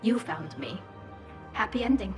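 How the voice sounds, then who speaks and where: A woman's voice: mid-range voice, sing-song, a little slow, roomy sound, over music. A woman voicing a resilient young alien in a video game, reflective and conversational. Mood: wry, forgiving.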